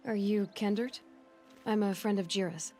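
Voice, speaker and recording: A woman playing a young, observant huntress in a video game, speaking in a measured, low-key way. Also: steady tone, a little mellow, soft background music, lightly acted. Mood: calm questioning. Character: young female hunter, observant, calm, tracking alone. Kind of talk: video game dialogue, musing to herself.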